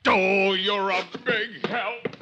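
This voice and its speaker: An actor playing a sheepish, pleading American tourist salesman who stammers excitably in a vintage radio drama. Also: high-pitched, drawn-out words, music in the background. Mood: indignant. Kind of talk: 1950s radio drama acting. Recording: dull vintage radio recording.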